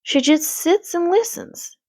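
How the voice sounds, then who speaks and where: A woman's voice: very high-pitched, animated, slow, a little mellow, no room sound, pitched above the performer's own voice, full character voice. A woman voicing a fretful, proper mother in a storybook, with an anxious, earnest and rather vague manner. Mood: worried.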